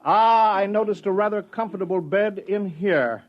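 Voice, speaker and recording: A dull old radio recording of a man playing a glib, nonchalant con artist. His high voice is theatrical and presumptuous, in 1950s drama style. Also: moderate pace, lightly acted. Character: brazen male shapeshifting con artist, smooth and presumptuous.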